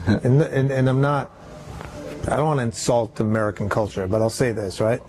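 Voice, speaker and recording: A man talking casually and animatedly as a company head, with lots of "like" and "right?" and quick impressions of other people. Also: mid-range voice, slight background noise. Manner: animated, swearing, acts out quoted voices; repeats "right?" and "like". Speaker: company chief executive. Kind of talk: casual conversation.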